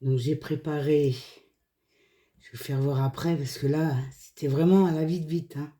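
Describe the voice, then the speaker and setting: A woman hoarse from a cold, chatting casually through a hands-on craft tutorial in short, unhurried phrases. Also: low voice, steady tone, dark tone. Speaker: craft video creator.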